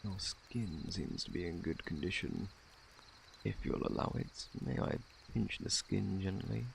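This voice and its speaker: A man with a British accent playing a courteous, scholarly plague doctor in a soft-spoken ASMR roleplay, formal and gently reassuring. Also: lively intonation, unhurried, slight background noise, heavily acted. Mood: gentle, attentive. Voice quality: very deep, creaky, hushed. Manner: formal, gentle, reassuring, old-fashioned wording. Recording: faint night insect ambience.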